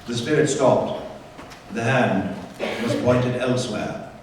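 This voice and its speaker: A man acts as a measured storyteller narrating a live radio drama. He is grave and literary, with a mid-range voice. The hall rings heavily around him over faint noise. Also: medium pitch, recorded from a distance, lightly acted.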